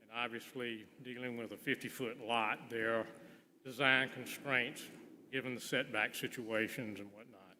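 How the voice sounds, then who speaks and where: A man gives informal testimony at a public hearing in a bright, forward voice. He speaks haltingly, pausing mid-sentence, and the big meeting room rings around him over steady noise. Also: mid-range voice. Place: large indoor meeting room. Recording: echoey meeting hall with clear background noise.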